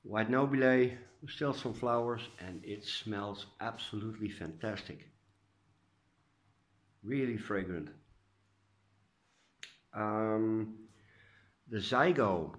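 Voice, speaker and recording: Slow, low-voiced Dutch man vlogging. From Netherlands. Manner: hesitant, lots of uh and restarts. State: congested, stuffy nose. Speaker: orchid grower vlogger. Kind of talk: casual home video update. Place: indoors at home.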